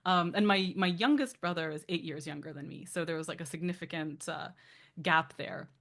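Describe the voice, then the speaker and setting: Woman from Canada, author guest, low voice, chatty, tangents and fillers. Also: a little fast, a bit bright. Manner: thoughtful, chatty, many asides and fillers, laughs easily. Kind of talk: casual interview talk.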